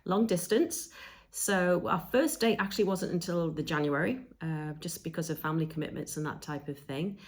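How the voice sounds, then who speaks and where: A woman in her fifties from England, telling her story in a relaxed, chatty podcast conversation with frequent ums. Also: fairly low pitch, moderate pace. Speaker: podcast co-host.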